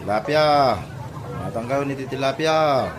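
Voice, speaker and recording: Man acting a grumbling, animated Ilocano vendor husband. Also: mid-range voice, moderate pace, heavy background noise, lightly acted. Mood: reluctant, complaining. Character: put-upon fish-vendor husband, complaining and teasing. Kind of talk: Ilocano comedy radio drama acting. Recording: faint market crowd behind.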